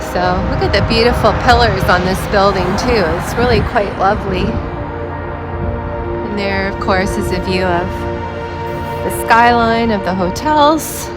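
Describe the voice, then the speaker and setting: A woman narrating a walking tour outdoors, her voice slightly hoarse as she recovers from an illness, talking slowly and explaining as she goes. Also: medium pitch. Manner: slow, unhurried, explanatory. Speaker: tour vlogger. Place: outdoors by a river near waterfalls. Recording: loud music under the voice.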